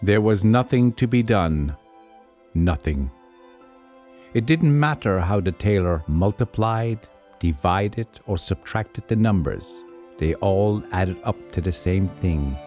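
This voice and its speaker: A man narrating a folk tale as a storyteller, measured and theatrical, with deliberate pauses. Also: deep voice, lively intonation, darkish tone. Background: music under the voice.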